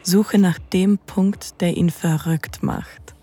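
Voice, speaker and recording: A woman playing a seductive, dominant lover, narrating intimately in the first person with a breathy, teasing delivery that often nears a whisper. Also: on the low side, steady tone, warm tone. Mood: sensual, slow. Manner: slow, sensual, teasing. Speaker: narrator and lead in an erotic audio drama.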